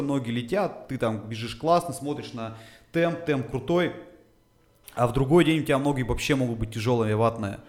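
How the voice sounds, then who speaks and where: A man's voice: mid-range voice, a little mellow. Man methodically explaining training science. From Urals, Russia. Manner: methodical explainer with filler sounds. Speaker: guest, fitness coach. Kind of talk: casual podcast conversation.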